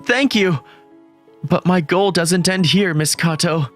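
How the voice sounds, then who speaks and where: A man voicing an earnest, lovestruck teenage student who narrates a scripted manga story in a measured way. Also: sits high, a bit bright. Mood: nervous, polite. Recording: background music under the voice.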